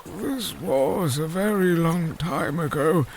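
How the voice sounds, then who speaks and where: An actor playing an elderly, long-winded fish-man scholar in an audio drama, with a gravelly voice and a halting, formal storytelling manner. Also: fairly high pitch, slight background noise. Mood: reminiscing.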